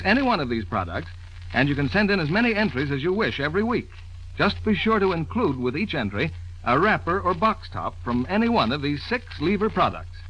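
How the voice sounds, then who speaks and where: Lively, high-pitched American male radio announcer. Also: husky. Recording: dull, faintly noisy old radio recording.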